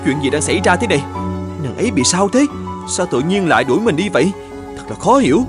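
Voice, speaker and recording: Earnest young bridegroom. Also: male voice, high voice, very animated, fast. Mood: alarmed. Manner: earnest, questioning, old-fashioned polite speech. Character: earnest young bridegroom, devoted and easily puzzled. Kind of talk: animated folktale dubbing. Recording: music under the voice.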